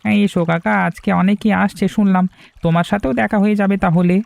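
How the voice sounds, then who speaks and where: A man voicing a friendly, hearty village fisherman and father in an animated cartoon. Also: sits high, fast talker, creaky.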